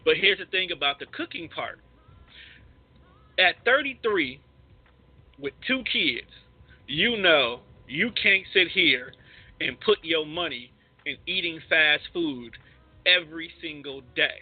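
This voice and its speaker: A man with an American accent and a husky voice, hosting a casual debate, making his points emphatically and repeating them. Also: fairly high pitch, expressive, takes it slowly, long pauses. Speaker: podcast host. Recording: thin phone-like audio.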